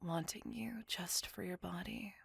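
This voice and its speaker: Woman acting a breathy, whispering, lovelorn lady vampire. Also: lowish voice, fairly even intonation, fairly bright tone, no echo, full character voice. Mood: wistful, yearning. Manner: slow, formal, tender and wistful. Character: lovelorn, courteous, melancholy female vampire on a date. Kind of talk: ASMR roleplay, intimate monologue.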